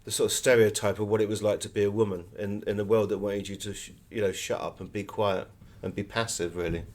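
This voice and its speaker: Guy, lowish voice, author in an interview, rambling through stories and explaining stuff. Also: little pitch movement, fast, a little mellow. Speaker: interview guest, author and artist. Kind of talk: conversational interview, telling anecdotes.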